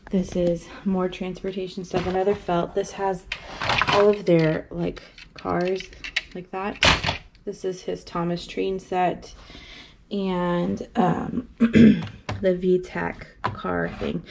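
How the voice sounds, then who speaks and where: A woman's voice: medium pitch, creaky, darkish tone, a little hiss or hum. A young woman vlogging casually at home, rambling with many fillers and restarts, filmed on a phone held at arm's length in a small playroom. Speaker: mom vlogger. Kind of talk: casual chat. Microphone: handheld phone camera at arm's length.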